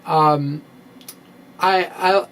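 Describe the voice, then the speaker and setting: A middle-aged man presenting a science video blog in an informal, halting, self-deprecating way, with emphatic swings, close to a microphone in a room at home. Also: a little high, fairly even intonation, fairly bright tone, a little hiss or hum. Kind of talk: informal explanatory lecture to camera, part reading. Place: small room at home with bookshelves. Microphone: microphone on a stand close in front.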